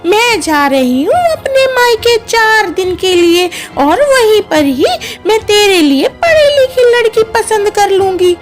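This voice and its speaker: A woman voicing a cranky, fault-finding older mother-in-law bird with a bossy, drawn-out cartoon delivery. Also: extremely high pitch, very animated, over music, heavily acted. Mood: scolding, irritated.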